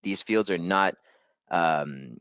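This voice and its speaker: A man leading a software training webinar in a casual, upbeat way, a little hoarse and clearing his throat. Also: low-pitched, slow pace, dry recording, dull audio. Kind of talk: webinar screen-share walkthrough.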